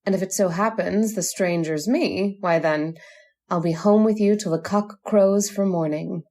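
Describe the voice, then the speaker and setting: A woman's voice: mid-range voice, a little slow, warm tone. Seductive, commanding witch. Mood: tender, ominous. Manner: coaxing, imperious, teasing. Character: seductive, commanding immortal witch bride, eerily coy. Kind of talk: old-time radio horror acting.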